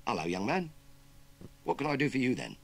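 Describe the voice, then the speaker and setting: A man's voice: medium pitch, wildly swooping pitch, fairly quick, creaky, voice pushed up, full character voice. A man doing a bumbling older farmer. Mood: flustered. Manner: hesitant, fumbling. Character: grumpy, kindly older male farmer.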